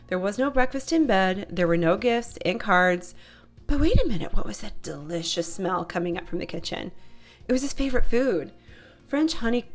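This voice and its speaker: A woman narrating a children's picture book as a read-aloud storyteller. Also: medium pitch. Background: music.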